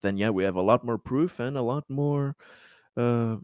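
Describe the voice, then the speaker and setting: A man playing a tabletop roleplaying game, casually arguing out plans and haltingly thinking aloud. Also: mid-range voice, a little mellow, dry recording, studio quality.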